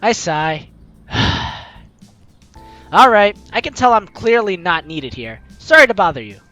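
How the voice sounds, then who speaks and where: A gaming video host reading a visual novel aloud in an animated, theatrical way, adding playful commentary, with game music behind. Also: mid-range voice, unhurried, highs cut off. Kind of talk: let's play commentary with dramatized reading of game text.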